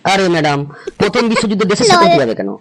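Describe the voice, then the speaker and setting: A man's voice: high-pitched, wildly swooping pitch, rapid-fire, over a noisy crowd. A man voicing a cheeky schoolboy prankster in a comic cartoon, rambling through silly answers and calling the teacher madam.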